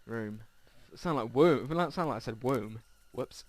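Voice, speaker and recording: A man's voice: medium pitch, animated, a little slow. A man doing casual let's play narration, reading a visual novel aloud informally and stumbling over words now and then. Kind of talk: casual read-aloud game narration with asides. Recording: music behind the voice. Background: game music.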